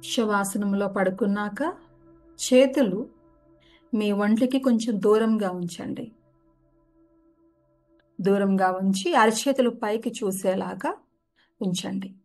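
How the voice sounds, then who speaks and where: A woman gently guides a relaxation meditation, giving unhurried step-by-step instructions. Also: medium pitch. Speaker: yoga nidra guide. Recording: music behind the voice. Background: background music.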